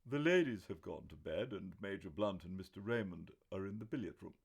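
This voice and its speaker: A man's voice: low voice, expressive, brisk, creaky, breathy edge, voice pushed up, exaggerated voice. A man voicing a stiff, proper older butler who speaks with formal, deferential politeness.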